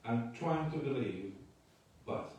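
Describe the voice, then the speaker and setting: A man from Chile preaches a sermon in short, measured phrases and stops after each one for his interpreter. His mid-range voice carries through a very reverberant church hall. It is picked up from some distance, with a faint hiss underneath. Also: medium pitch, lively intonation, darkish tone. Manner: speaks in short phrases, pausing for translation. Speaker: guest pastor. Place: church sanctuary. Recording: echoey church hall from far off.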